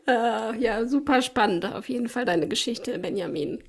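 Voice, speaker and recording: A woman's voice: on the high side, moderate pace. Giggly woman hosting a podcast. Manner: laughs often, warm and encouraging. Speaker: podcast host. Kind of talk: casual interview chat.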